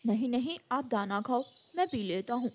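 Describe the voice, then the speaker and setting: A woman plays a devoted father bird in a children's cartoon. The voice is high and gentle, that of a selfless protector of the family, over faint noise. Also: animated, normal speed, lightly acted. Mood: gentle, reassuring.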